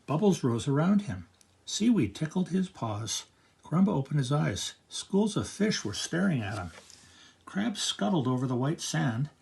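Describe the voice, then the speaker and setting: An older man reading a picture book aloud to children as a grandfatherly storyteller, with expressive narration. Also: fairly high pitch, moderate pace, vocal fry.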